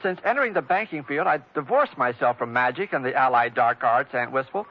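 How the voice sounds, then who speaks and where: A man's voice: medium pitch, lively intonation, fairly quick. A man playing a meek but pompous young banker in a 1950s radio drama, stiff, formal and hesitant. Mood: earnest, self-important. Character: meek, pompous young male banker, earnest amateur dowser. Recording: muffled old radio broadcast recording.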